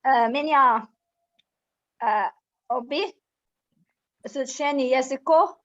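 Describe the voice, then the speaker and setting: A student woman, answering slowly and hesitantly as a beginner. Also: high-pitched, a bit bright, muffled recording. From Lebanon. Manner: hesitant beginner, halting with fillers.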